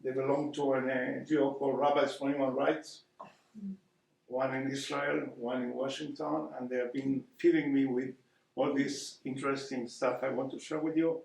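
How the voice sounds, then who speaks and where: A man's voice: mid-range voice, flat delivery, normal speed, creaky, darkish tone. An older man from Argentina with an Argentine Spanish accent gives an earnest public lecture, often hesitating and repeating words as he searches for them. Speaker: guest speaker. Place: community hall. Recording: echoey hall, distant mic.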